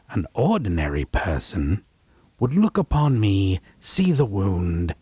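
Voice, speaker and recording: A man playing a possessive, wounded vampire in a roleplay audio drama, speaking with mocking refinement, theatrical phrasing and a breathy, husky voice. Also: deep voice, dark tone, slight background noise, heavily acted. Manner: pedantic, sardonic, theatrical, lingering phrasing.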